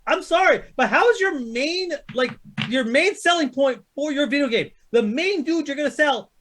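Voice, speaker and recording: A man's voice: very high voice, lively intonation, normal speed, fairly bright tone, a little hiss or hum. A man co-hosting a casual argumentative chat, blunt and foul-mouthed. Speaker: co-host.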